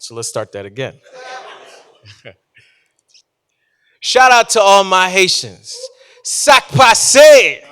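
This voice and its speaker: A man from New City, performing spoken-word poetry live in an animated, playful way and talking directly to his audience. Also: sits high, clear, resonant tone. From New York City, United States. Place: live event before an audience.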